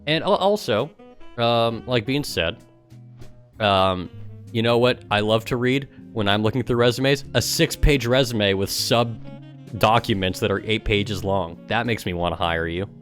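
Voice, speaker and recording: A man's voice: lowish voice, measured pace, fairly bright tone, soft background music. A man doing casual, mocking livestream commentary, sometimes reading aloud in a sarcastic way. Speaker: streamer and gamer.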